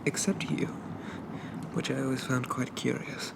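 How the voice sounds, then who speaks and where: A man playing a shy, polite undead zombie in a soft ASMR roleplay, stammering and hesitant in a gently formal way. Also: mid-range voice, flat delivery, unhurried, gravelly, very noisy. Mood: gentle, polite.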